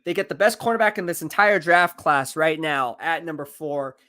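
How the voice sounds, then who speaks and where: A man live-streaming football draft commentary, excitable and hyping each pick, his voice going hoarse. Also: fairly high pitch, moderate pace, no echo. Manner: excitable, hypes picks, reads chat aloud. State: losing his voice.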